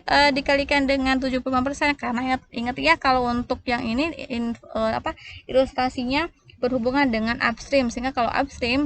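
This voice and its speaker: A woman's voice: high voice, fairly bright tone, slight background noise. A woman explaining as an accounting tutor in an informal, conversational way, often posing rhetorical questions.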